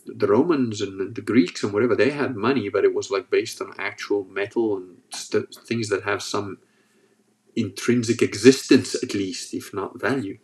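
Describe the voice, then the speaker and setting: A man's voice: fairly low pitch, normal speed. A man with a sore throat hosting a casual livestream, reading chat and joking as he rambles. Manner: rambling, joking, restarts often.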